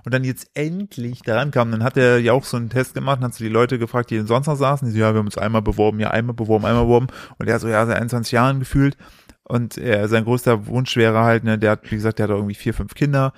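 A man who talks quickly and piles up half-started sentences as he chats with his wife on their podcast. His voice sits at an ordinary pitch and sounds close and dry, with no room around it. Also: steady tone, dry recording. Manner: fast, rambling, restarts sentences often. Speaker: podcast co-host. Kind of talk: casual chat between spouses.

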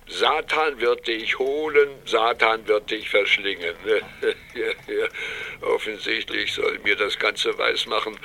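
An actor playing an irascible, self-important professor in a German audio drama, lecturing and snappish. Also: male voice, medium pitch, sharp tone, heavily acted.

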